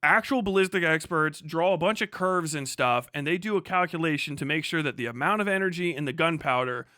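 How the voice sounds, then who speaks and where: A man's voice: a little high, brisk, pushed and bright, dry recording, studio quality. A man hosting a livestream, explaining things casually in a rambling, sweary way with asides to himself. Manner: rambling, self-interrupting asides. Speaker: livestream host.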